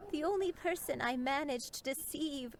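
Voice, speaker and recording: An actress playing a gentle, remorseful woman in a video game, speaking haltingly as if confessing. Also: high voice, a little hiss or hum. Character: gentle, remorseful grown woman, long in hiding. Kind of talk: scripted dramatic game voice acting.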